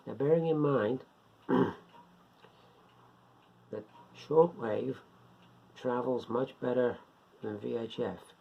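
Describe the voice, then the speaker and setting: A man giving an informal, unscripted beginners' explainer in a rambling, step-by-step way, repeating himself, with a gravelly, husky voice. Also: mid-range voice. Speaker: hobbyist presenter of a beginners' guide. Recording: dull lo-fi home recording.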